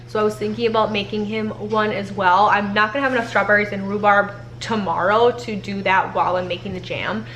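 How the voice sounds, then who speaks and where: A woman's voice: mid-range voice, steady tone, brisk, hardly any pauses, a bit bright. Chatty young woman in an echoey kitchen. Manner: chatty, rambling, upbeat. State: slightly congested from a head cold. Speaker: homestead cooking vlogger. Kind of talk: casual kitchen vlog chat. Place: home kitchen. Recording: echoey kitchen, faint room noise.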